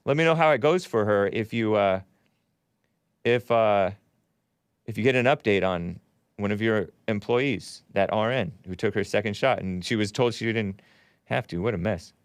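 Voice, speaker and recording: A man's voice: on the low side, normal speed, smooth, no echo, clean studio sound. A man hosting a call-in show, arguing scripture with callers and reading out viewer messages, with chuckles as he reads. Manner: argumentative, quotes scripture, chuckles while reading.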